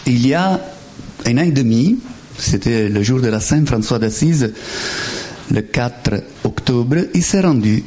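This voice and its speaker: A man with a thick Italian accent leads a spiritual retreat for lay listeners. He speaks in a halting, conversational way, full of fillers and restarts, with quick asides to the room. His voice sits in an ordinary middle pitch. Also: expressive, a little mellow, a little hiss or hum. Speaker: Franciscan friar giving a retreat talk. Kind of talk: informal spiritual teaching to lay people.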